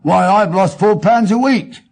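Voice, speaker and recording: A man's voice: high voice, a little slow, a little mellow, pitched above the performer's own voice. A man with an English accent voicing an earnest, indignant tradesman, plaintive and deferential, in an audiobook reading. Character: aggrieved, simple male London tradesman, earnest and indignant. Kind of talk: dramatic audiobook character reading.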